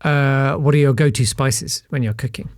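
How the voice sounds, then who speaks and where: A man hosts a podcast chat in an easy, conversational way. His voice sits at a middle pitch, and he talks with plenty of ums and restarts while guiding the conversation. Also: moderate pace. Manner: easygoing, chatty, hesitant fillers. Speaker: podcast host. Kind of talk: casual interview chat.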